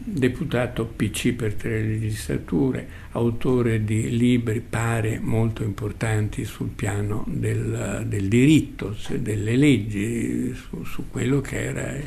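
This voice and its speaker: Older man, very gravelly, slow. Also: mid-range voice, fairly even intonation, mellow. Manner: halting, reflective, with repetitions and long hesitations. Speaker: radio podcast host. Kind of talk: spoken reminiscence, literary commentary.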